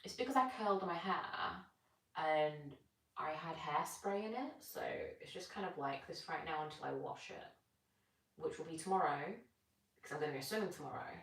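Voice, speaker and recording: A woman's voice: sits low, moderate pace. A young British woman chatting casually on a live stream while she stretches, rambling and answering comments. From Britain. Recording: echoey room, distant from mic.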